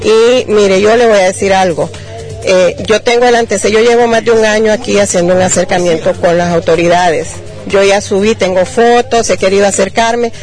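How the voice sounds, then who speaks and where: A woman interviewed outdoors, calmly and firmly defending her claim in detail. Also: medium pitch, normal speed, faint music behind. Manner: firm, detailed, self-defending. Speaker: landowner's granddaughter. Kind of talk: street news interview.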